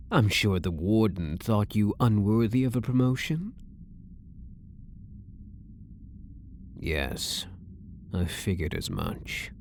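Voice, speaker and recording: Man playing a slow, low-voiced, seductive elf prisoner. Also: darkish tone, a little hiss or hum, heavily acted. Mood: sly, flattering. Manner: teasing, slow, lilting delivery dropping into whispers. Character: possessive, seductive male elf prisoner, coy and obsessively devoted. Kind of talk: intimate ASMR roleplay acting.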